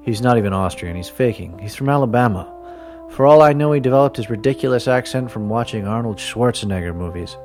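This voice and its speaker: A man voicing a large, weary male businessman who speaks in a dry, low-key, reluctant way. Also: expressive, a little fast, darkish tone, soft background music, lightly acted.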